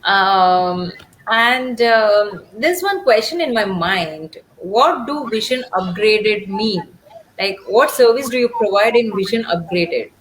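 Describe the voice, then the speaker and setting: A woman hosting an interview, putting her questions in a measured way with frequent "uh" and "um". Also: mid-range voice, animated, a little hiss or hum, poor audio. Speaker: interview host.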